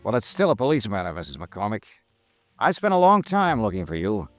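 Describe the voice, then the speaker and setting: An actor playing a sardonic, unflappable American insurance investigator in 1950s radio noir, talking and narrating in a clipped, dry, wisecracking way. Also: male voice, lowish voice, huge pitch swings, brisk, no echo. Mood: sardonic, firm. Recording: vintage radio recording, music behind.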